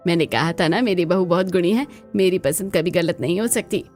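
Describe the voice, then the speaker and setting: A woman's voice: lowish voice, fairly quick, darkish tone, close to an everyday voice. Woman acting an affectionate mother-in-law over music. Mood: proud, pleased. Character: warm, approving mother-in-law welcoming new bride. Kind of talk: Hindi family cartoon voice acting.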